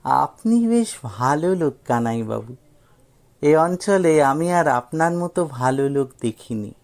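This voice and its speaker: A man's voice: mid-range voice, expressive, measured pace, creaky. A man voicing a cheeky young man who teases with mock politeness and feigned innocence. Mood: mock polite. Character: cheeky, precocious young man, mockingly polite and falsely naive.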